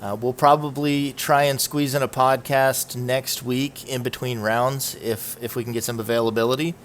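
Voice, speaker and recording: A man's voice: medium pitch, little pitch movement, normal speed, slight background noise. A young man hosting a podcast in casual chat, teasing his guests and laughing easily, speaking from home on a call. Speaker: podcast host.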